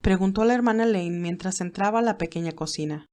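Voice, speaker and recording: A woman's voice: medium pitch, brisk, a little mellow. A woman narrating a fan fiction audio story and reading scripted notes to listeners as its host.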